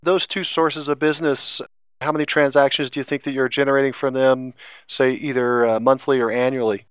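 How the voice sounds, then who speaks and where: A male interviewer with a clear, smooth voice of middling pitch, recorded close and dry. He hosts in an orderly, focused manner, steering the talk with structured questions. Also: little pitch movement, a little fast, dry recording, poor audio. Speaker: podcast interviewer, host.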